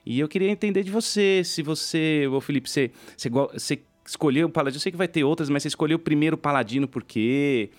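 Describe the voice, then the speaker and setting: A man's voice: a little high, quick pace, hardly any pauses, soft background music. A Brazilian man hosting a podcast in a casual, conversational style. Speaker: podcast host.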